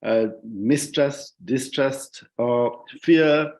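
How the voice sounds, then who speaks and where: A Sri Lankan man speaking thoughtfully on a discussion panel, reflective and halting as he searches for words and repeats phrases. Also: medium pitch, a little mellow, low-quality recording. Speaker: panelist, journalist and writer. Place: online discussion call.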